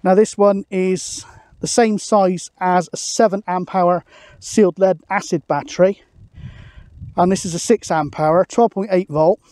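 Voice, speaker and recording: Casting: British man, forties, high voice, chatty and matter-of-fact hobby vlogger, dry open-air sound. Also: steady tone, a little slow, darkish tone, no echo. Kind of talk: casual explanatory outdoor vlog. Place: open misty hilltop outdoors.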